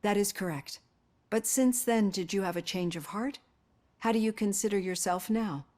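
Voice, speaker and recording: A woman's voice: medium pitch, darkish tone, natural voice. Female psychologist for a game, played by an actress: mid-range voice, composed and caring, careful earnest phrasing. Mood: calm, probing. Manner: measured, earnest, articulate. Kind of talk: acted video game dialogue.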